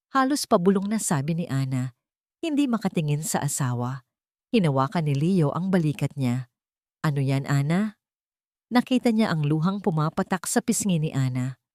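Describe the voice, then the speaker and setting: A woman's voice: fairly low pitch, a little mellow, dry recording, studio quality. A woman with a Filipino accent reading a dramatic scripted romance story as the storyteller.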